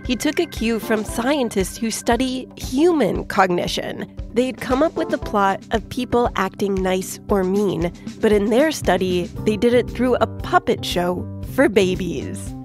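A woman's voice: medium pitch, expressive, measured pace, few pauses, over music. A woman hosting a children's science podcast, explaining things in a playful, scripted narrating style. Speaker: podcast host.

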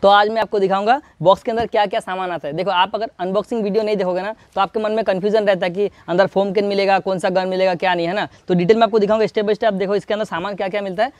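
Fast-talking high-voiced young man. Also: dry recording. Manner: chatty, talks straight to viewers, keeps checking in with "hai na". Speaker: product reviewer. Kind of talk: casual unboxing demo. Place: outdoor courtyard garden.

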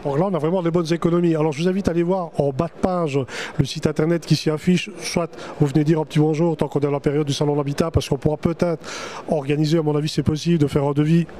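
A man's voice: on the high side, steady tone, fast talker, dark tone. A middle-aged man, hosting chatty promotional interviews at a trade show, speaking into a handheld microphone. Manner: chatty, salesman-like presenter. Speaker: trade-fair TV host. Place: exhibition hall stands.

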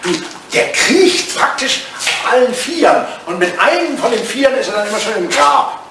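An actor playing an ageing English lord in a retro German radio play, a vain, jealous suitor with a droll, drily teasing, theatrical manner. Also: male voice, high-pitched, big pitch swings, moderate pace. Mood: indignant, mocking. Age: older. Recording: distant, roomy, noisy old radio recording.

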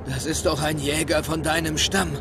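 Guy doing serious loyal cartoon wolf, gravelly, bit high pitched. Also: level tone, moderate pace, noisy background, close to an everyday voice. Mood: grave, urgent. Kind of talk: German cartoon dubbing.

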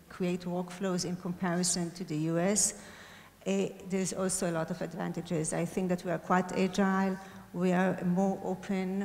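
A woman talking on a conference stage into a handheld mic, speaking at a slightly low pitch. She talks fluently but fills her sentences with "uh" and corrects herself along the way. Her voice carries a long reverb from the big hall. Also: darkish tone. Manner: fluent, many fillers, self-corrects. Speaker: panelist, hospital data and AI head. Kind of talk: panel discussion answer.

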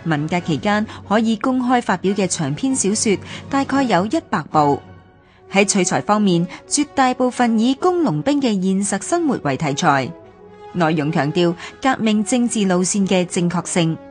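A woman with a Hong Kong Cantonese accent, reading scripted documentary narration in a formal, measured way. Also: medium pitch, sing-song. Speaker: narrator of a radio history series. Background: music.

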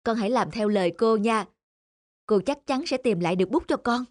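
A woman playing a kind, patient schoolteacher in an animated moral tale, gently instructive. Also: a little high, fairly quick, lightly acted. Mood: reassuring. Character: kind, patient female schoolteacher guiding her pupils. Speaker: schoolteacher character. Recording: music behind the voice.

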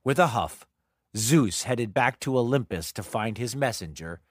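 A man's voice: medium pitch, expressive, a little slow, no room sound, studio quality. A man hosting and narrating scripted stories for children, with chatty asides. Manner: conversational asides. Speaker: host and storyteller. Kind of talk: animated scripted storytelling for children.

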